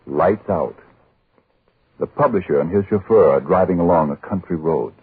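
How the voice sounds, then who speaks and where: An actor playing a grave, sinister storyteller who hosts and narrates 1940s radio horror in a slow, solemn way. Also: male voice, deep voice, noisy background, lightly acted. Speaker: host and narrator. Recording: muffled, narrowband 1940s radio recording.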